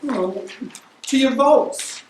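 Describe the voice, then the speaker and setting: A man playing a boisterous, proud, tipsy wine connoisseur in an amateur dramatic reading, with loud, drawn-out exclamations. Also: extremely high pitch, exaggerated voice. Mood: eager, dismissive. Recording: echoey room, far from mic, faint hiss.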